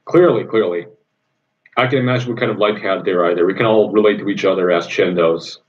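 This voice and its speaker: A man's voice: deep voice, brisk. A young man from Indonesia chatting casually on a livestream, rambling with many ums and easy enthusiasm. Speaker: livestream host answering viewer questions. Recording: dull lo-fi sound.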